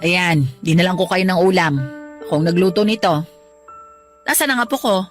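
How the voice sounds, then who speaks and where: A woman's voice: fairly low pitch, animated, moderate pace, music in the background, lightly acted. A woman playing an older grandmother and mother of the heroine, nagging and scolding in a radio drama.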